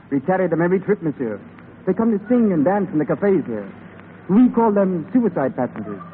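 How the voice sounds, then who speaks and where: Lively steward, tinny old radio. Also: male voice, sits high, moderate pace. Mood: knowing. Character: knowing, cynical French ship's steward. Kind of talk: 1930s radio acting. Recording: tinny, hissy 1930s radio broadcast.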